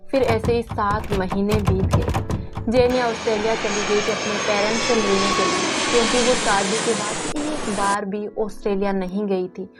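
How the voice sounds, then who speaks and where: A woman's voice: mid-range voice, fairly even intonation, normal speed, over loud music. A woman storyteller delivering scripted narration of a family tale.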